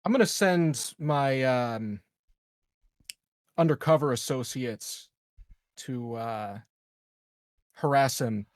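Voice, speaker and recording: A man's voice: medium pitch, slow pace, long pauses, no room sound, clean studio sound. A man chatting casually as a player in a tabletop game, with a wry, joking manner.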